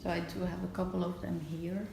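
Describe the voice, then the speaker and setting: Young female vlogger, gravelly and a little low, chatty, filmed on a phone in an echoey home room with noise behind. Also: flat delivery, brisk, mellow. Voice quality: slightly raspy from just waking up. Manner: chatty, self-narrating while wrapping gifts. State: hiccups. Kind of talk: casual vlog chat. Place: home kitchen and living room.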